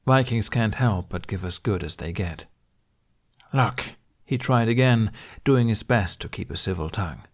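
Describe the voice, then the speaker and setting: A man's voice: on the low side, lively intonation, moderate pace, a little mellow. A man reading fiction as an audiobook narrator, in a dramatic scripted storytelling style.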